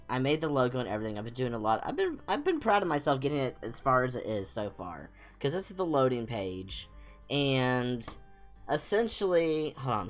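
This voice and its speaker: Young adult man, mid-pitched voice, casual livestream chat, rambling, self-deprecating, music behind. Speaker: livestream host. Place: small home room, indoors.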